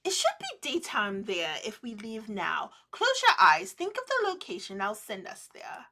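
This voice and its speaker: A woman voicing a reserved, serene male winter spirit in a romance game, speaking in a measured, earnest, formal way. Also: medium pitch, huge pitch swings, bright, forceful tone, pitched below the performer's own voice, heavily acted. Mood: calm, matter-of-fact.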